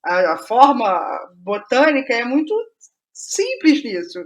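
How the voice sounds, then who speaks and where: Brazilian woman, higher-pitched, thinking aloud. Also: very animated, takes it slowly. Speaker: interview guest, playwright. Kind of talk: casual conversational interview about writing process.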